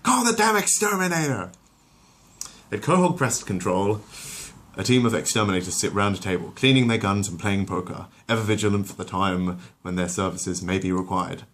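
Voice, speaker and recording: A man with a low voice tells a bedtime story. He narrates with drama and drops into casual, conversational asides. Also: steady tone, fairly quick. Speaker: storyteller and channel host.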